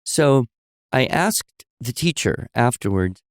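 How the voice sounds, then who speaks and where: A man co-hosting a podcast, telling stories in a relaxed conversational way and explaining with examples and asides. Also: medium pitch, slow, no room sound, studio quality. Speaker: podcast co-host. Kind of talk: conversational storytelling.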